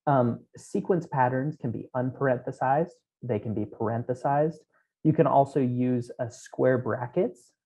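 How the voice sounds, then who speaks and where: A man's voice: medium pitch, gravelly, dark tone. A man in his thirties giving a technical keynote from a small room, explaining code step by step with frequent ums. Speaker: conference keynote speaker. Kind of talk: technical conference talk. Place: small room at home, indoors. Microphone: headset. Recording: dull lo-fi remote talk audio.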